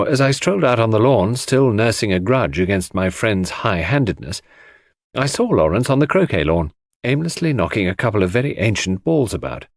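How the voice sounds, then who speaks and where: A man's voice: on the low side, no echo, studio quality. A man reading scripted dramatic narration as an audiobook narrator.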